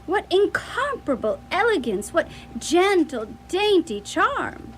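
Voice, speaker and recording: A florid madman for a radio drama. The voice sits very high and swings widely, with ornate, theatrical courtesy that turns to haughty scorn. A hum lies behind it. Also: big pitch swings, slow, fairly bright tone, exaggerated voice. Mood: courtly flattery.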